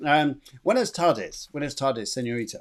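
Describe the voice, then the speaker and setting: A man's voice: mid-range voice, expressive, normal speed. A middle-aged man hosting a live online chat show, chatty and rambling, laughing often. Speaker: host.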